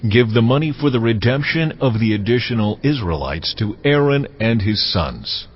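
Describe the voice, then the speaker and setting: A man playing God in a dramatized scripture reading, giving solemn commands in a measured, authoritative, formal way. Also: mid-range voice, expressive, husky. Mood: solemn, commanding. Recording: slightly muffled, music underneath.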